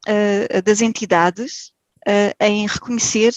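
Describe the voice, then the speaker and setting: A woman's voice: medium pitch, steady tone, takes it slowly, no echo. Hesitant Portuguese woman, dry sound. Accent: European Portuguese. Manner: hesitant, many fillers and restarts. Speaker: podcast guest. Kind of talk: casual interview.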